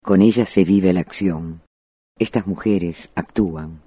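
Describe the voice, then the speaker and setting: A man narrating a documentary in a measured, solemn scripted delivery. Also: low-pitched, mellow, breathy edge, no room sound.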